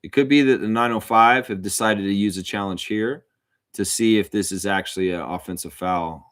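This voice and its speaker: Casting: male fan commentator, lowish voice, informal live basketball calls and opinionated asides, recorded dry. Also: moderate pace, a bit bright, no echo. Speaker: host and amateur play-by-play commentator of a livestream watchalong. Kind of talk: live basketball commentary mixed with casual chat to viewers.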